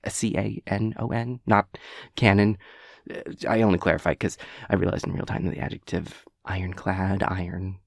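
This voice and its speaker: A man playing a chaotic, nervous, evasive boyfriend in a roleplay audio, stammering and rambling with halting hesitations. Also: sits low, little pitch movement, creaky, dry recording, studio quality. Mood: rambling, pedantic. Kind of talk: improvised-sounding roleplay audio monologue.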